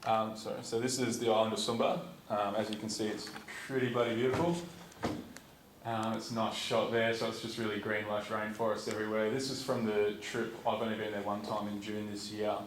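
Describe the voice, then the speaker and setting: A man's voice: lowish voice, flat delivery, a little fast. A young man from Perth with an Australian accent, giving an informal, rambling talk to a conference audience in a lecture theatre, with many ums and restarts. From Perth, Western Australia. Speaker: student speaker at a conference. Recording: echoey hall, distant, noisy.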